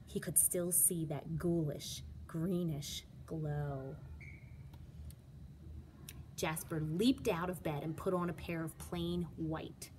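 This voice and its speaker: A woman's voice: fairly low pitch, a little mellow, slight background noise. A woman reading a children's storybook aloud as a teacher, with dramatic, emphatic delivery.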